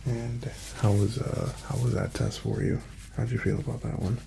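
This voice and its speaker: A man speaking softly and breathily in an ASMR doctor roleplay, often slipping into a whisper as he gives gentle, reassuring, step-by-step instructions. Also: lowish voice, steady tone, normal speed, gravelly, noisy background. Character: calm, courteous male doctor examining a patient. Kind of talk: soft-spoken ASMR medical exam roleplay.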